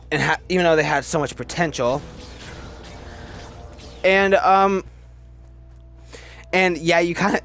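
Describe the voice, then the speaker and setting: A man's voice: on the high side, a bit bright. A man hosting a casual, off-the-cuff ranking video, rambling through his opinions and restarting sentences as he goes. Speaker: video host reviewing films.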